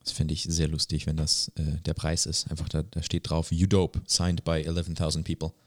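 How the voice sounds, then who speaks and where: Male podcast co-host with a low, deep voice, chatting casually and informally. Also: monotone, fairly quick. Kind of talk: casual chat.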